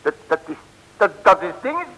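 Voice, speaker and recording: An actor playing a bumbling, folksy, excitable male detective who blusters and stammers in a vintage comic radio serial. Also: high voice, brisk, a little hiss or hum, full character voice. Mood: excited. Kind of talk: 1960s Dutch comic radio serial acting. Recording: old radio recording, long reverb.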